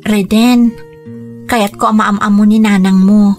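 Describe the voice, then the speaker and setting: A woman's voice: mid-range voice, steady tone, unhurried, darkish tone, faint music behind, close to an everyday voice. A woman acting in a radio drama as an earnest, strong-willed young daughter from a rich family. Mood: shy, earnest. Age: young adult. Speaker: voice actor.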